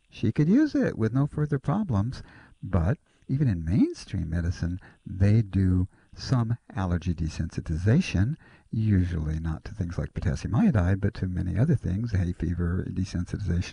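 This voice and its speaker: A man with a low, gravelly, somewhat husky voice walks a caller through natural-medicine advice. His delivery swings up and down in pitch as he explains, polite and earnest. The sound is dark and dry, close to the mic. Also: big pitch swings, normal speed, no room sound. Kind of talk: radio call-in advice.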